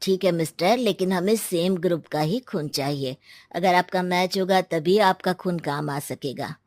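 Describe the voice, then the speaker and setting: A woman's voice: lowish voice, measured pace, a little mellow, no room sound, clean studio sound, lightly acted. A woman voicing a sober male hospital doctor in a family drama, grave and clinical.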